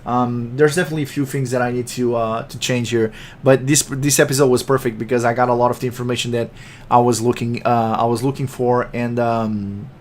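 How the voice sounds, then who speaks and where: A raspy-voiced man, an English speaker, hosting a casual developer interview and talking fast. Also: medium pitch, flat delivery, a little hiss or hum. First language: Portuguese. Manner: fast-talking, self-conscious, rambling. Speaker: podcast host and game developer. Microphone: computer microphone.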